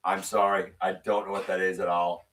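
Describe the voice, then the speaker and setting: A man hosting a live stream, reading viewer comments and answering them in casual, opinionated chat, his voice sometimes a little raspy. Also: little pitch movement, normal speed, fairly bright tone, distant mic. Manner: opinionated, ranting with rising pitch when worked up.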